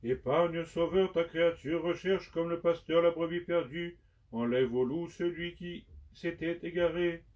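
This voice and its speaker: A man giving a slow, solemn liturgical recitation of penitential prayers in measured, prayerful phrases. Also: on the high side, steady tone, darkish tone. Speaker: liturgical reader. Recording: dull sound from far off the mic.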